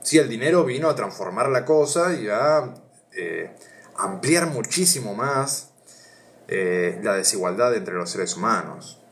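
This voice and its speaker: A man's voice: fairly low pitch, expressive, measured pace, fairly bright tone. An Argentine man with a Rioplatense accent, reading philosophy aloud on a live stream and chatting with viewers, thinking aloud with many hesitations. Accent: Argentine Rioplatense Spanish. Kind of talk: casual live reading and chat.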